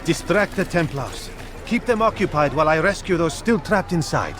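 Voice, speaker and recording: An actor playing an earnest assassin comrade in a video game, giving urgent instructions. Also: male voice, a little high, expressive, normal speed, background noise, natural voice. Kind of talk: video game cutscene acting.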